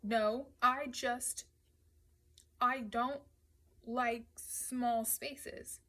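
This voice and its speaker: A woman's voice: fairly high pitch, lively intonation, moderate pace, a bit bright. A guarded adolescent girl, voiced by a woman narrator, serious and proper. She speaks with precise restraint, as in an audiobook. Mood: uneasy.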